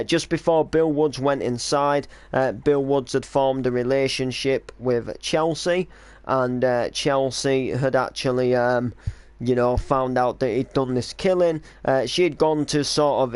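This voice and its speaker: Informal rambling man, smooth clear voice. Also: medium pitch, darkish tone, no echo. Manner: informal, rambling, explanatory storytelling. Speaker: streamer, creator and voice actor of an online drama series. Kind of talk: casual reminiscing commentary on a stream.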